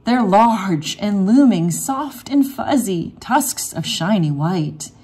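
A woman reading a rhyming children's picture book aloud in an expressive, measured storytelling manner. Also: mid-range voice, husky. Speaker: read-aloud storybook reader.

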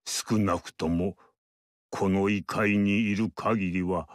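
An actor playing an ageless male mystic sage in a video game, speaking in a measured, archaic and lofty way. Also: low-pitched, steady tone, no echo, clean studio sound. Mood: aloof. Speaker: game character. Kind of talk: game dialogue acting.

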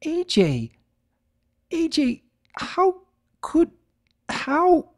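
A man's voice: a little high, very animated, normal speed, mellow, pitched above the performer's own voice. A man voicing a nervous, bookish young pony mare who talks hesitantly. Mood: distraught. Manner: hesitant, fretful.

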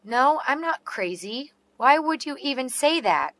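A woman reading short English practice dialogues aloud, slowly and carefully enunciated. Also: sits high, big pitch swings, a bit bright, no room sound. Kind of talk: slow read-aloud English practice phrases.

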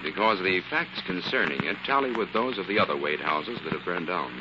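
A man's voice: low voice, lively intonation, fairly quick. A man with an American accent playing a level-headed, inquisitive detective in a 1940s radio drama. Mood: thoughtful, businesslike. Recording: muffled, noisy 1940s radio recording.

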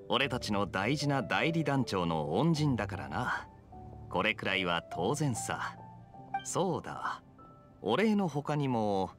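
A young knight officer in a video game, suave and casually confident. His voice is on the low side, with teasing, lively intonation over faint music. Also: slow. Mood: cool, amused.

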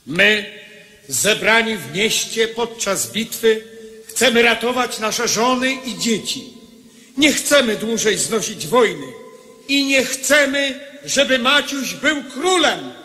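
A self-righteous councilman declaims slowly in a radio drama. His voice is extremely high and piercing, in a reverberant hall. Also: big pitch swings, bright, forceful tone, heavily acted. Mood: solemn, self-righteous. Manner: slow, declamatory reading. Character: anxious, self-righteous city councilman reading a proclamation. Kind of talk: Polish radio play acting.

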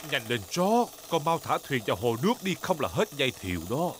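A man's voice: on the high side, sing-song, a little fast, slight background noise. A man voicing a jolly, helpful father pig in a children's cartoon dub, with a southern Vietnamese accent. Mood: encouraging. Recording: music behind the voice.

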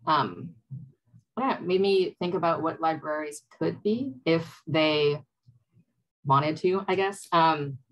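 A young woman from Wisconsin speaking on a video call panel, reflective and hesitant with many pauses. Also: low voice, low-quality recording. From Wisconsin, USA. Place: home room.

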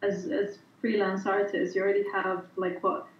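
Italian-native woman, flat steady voice. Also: medium pitch, moderate pace. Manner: reflective, many fillers and restarts. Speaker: illustrator panelist. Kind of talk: casual panel chat. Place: home studio room. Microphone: headset. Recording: echoey room, dull video call audio.

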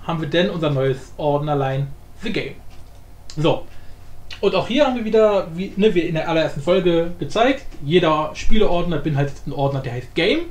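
A man giving a casual software tutorial in an informal, blunt, rambling way. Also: a little high. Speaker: tutorial presenter. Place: indoor room, voice-over for screen recording. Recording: roomy echo, light background noise.

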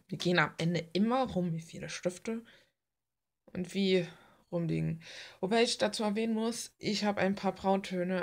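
A young adult woman rambling casually in an informal, playful, slangy way, like someone showing her hobby on a vlog. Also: mid-range voice. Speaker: hobby artist and video maker.

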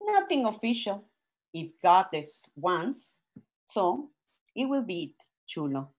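An older veterana healer. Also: female voice, mid-range voice, big pitch swings, takes it slowly. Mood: coy, assured. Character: warm Mexican-American veterana healer in her sixties. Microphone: video call from home.

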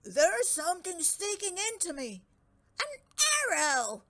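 A woman's voice: very high-pitched, big pitch swings, slow, fairly bright tone, pitched above the performer's own voice, full character voice. A woman voicing an angry male giant in a storybook, growling with indignation. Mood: startled.

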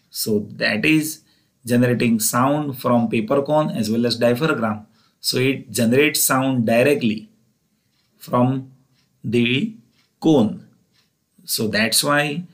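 A man with an Indian accent narrates a technical lesson in a medium-pitched voice. He explains step by step, repeating key points in a plainly didactic way, as a lecturer would over slides. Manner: didactic, repetitive step-by-step phrasing, often opening with "so". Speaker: engineering lecturer.

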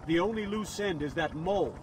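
An actor playing a ruthless military commander, a video game villain with an authoritative, barking delivery. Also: male voice, high voice, brisk, background noise, natural voice. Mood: cold command. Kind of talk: dramatic video game dialogue.

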